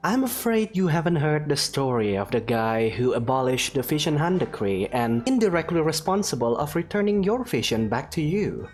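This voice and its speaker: Low-pitched woman giving lively game commentary. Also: normal speed, few pauses, faint music behind. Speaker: gaming streamer. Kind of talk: casual gameplay commentary, reading some dialogue aloud.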